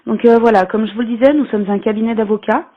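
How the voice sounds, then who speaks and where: A woman's voice: a little high, steady tone, fast, close to an everyday voice. Woman as an officious legal agent, tinny phone line. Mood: officious, polite. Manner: formal, courteous, businesslike. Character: polite, officious female law-firm anti-piracy agent. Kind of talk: scripted prank phone call.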